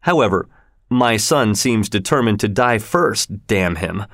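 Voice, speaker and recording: A man's voice: lowish voice, expressive, unhurried, fairly bright tone, dry recording, clean studio sound, heavily acted. A man voicing a cruel, bitter father in an audiobook, by turns mock-polite and commanding. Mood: cold, bitter. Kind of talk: audiobook character voice.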